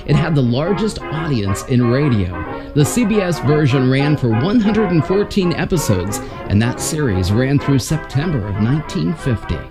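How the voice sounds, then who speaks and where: A man, reading a scripted introduction as a show presenter. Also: a little high. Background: music.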